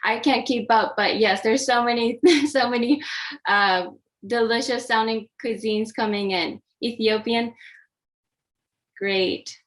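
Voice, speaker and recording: A woman's voice: a little high, fairly even intonation, slow. A woman from Japan, an oncology dietitian, giving a friendly, interactive online presentation over a video call, with many fillers and restarts. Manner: friendly, hesitant with frequent fillers.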